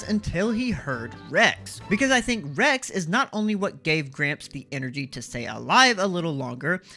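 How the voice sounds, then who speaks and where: Bright, animated male video essay host. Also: sits high. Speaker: host of a video game analysis series. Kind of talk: scripted video essay narration. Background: background music.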